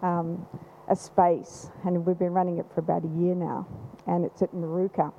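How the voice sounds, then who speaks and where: A woman with a loud voice, speaking from the audience at a panel event, rambling with many restarts. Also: lowish voice, level tone, normal speed, vocal fry, a little hiss or hum. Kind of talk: unscripted comment from the floor. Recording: slightly dull live event recording.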